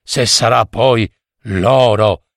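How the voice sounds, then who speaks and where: A man's voice: lowish voice, extremely slow, gravelly, fairly bright tone, dry recording, studio quality, voice pushed down, heavily acted. A man voicing a greedy, self-satisfied hunchbacked goldsmith who mutters to himself in a storybook tale. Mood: smug, greedy.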